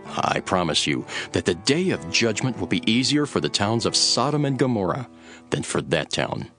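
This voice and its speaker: A man playing a grave, authoritative teacher instructing his followers in a dramatised scripture reading, with measured, solemn delivery. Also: on the low side, very animated, a bit bright, faint music behind.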